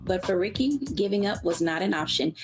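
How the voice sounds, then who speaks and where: A woman's voice: on the low side, expressive, fairly quick. A woman who hosts a show, reading a scripted promotional narration. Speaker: show host. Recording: music bed under promo voice.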